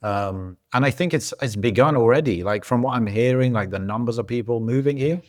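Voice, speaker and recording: A man co-hosting a podcast, talking in a relaxed, casual way with lots of fillers and restarts. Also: mid-range voice, brisk. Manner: relaxed, loose storytelling with many fillers and restarts. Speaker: podcast co-host. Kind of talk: casual chat. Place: lives in Algeria.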